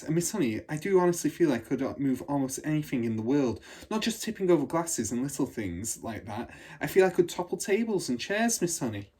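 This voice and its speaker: An excitable little storybook schoolgirl, acted out by a man reading aloud, pitched up and slightly husky. She is eager and inquisitive, with a breathless delivery that swings about in pitch. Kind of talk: children's storybook read aloud.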